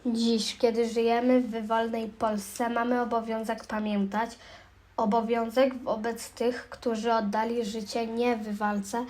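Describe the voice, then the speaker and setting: Młoda kobieta, wyższy, monotonny głos. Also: female voice, fairly high pitch. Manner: deliberate, formal phrasing. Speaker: speaker in a commemorative radio play. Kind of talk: solemn scripted narration.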